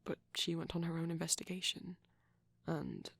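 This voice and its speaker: A man playing a shy, gentle vampire boyfriend in intimate ASMR roleplay, speaking softly and hesitantly, often close to a whisper. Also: a little high, monotone, normal speed, dry recording. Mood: softly enthusiastic, hesitant. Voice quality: soft, breathy, husky, often near a whisper. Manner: hesitant, bashful, rambling tenderly.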